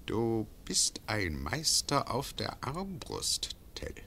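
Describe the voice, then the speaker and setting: An actor playing a cruel imperial bailiff in a classic radio play, cold, mocking and imperious. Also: male voice, mid-range voice, huge pitch swings, slow, gravelly, sharp tone, a little hiss or hum, heavily acted. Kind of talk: classic radio drama acting.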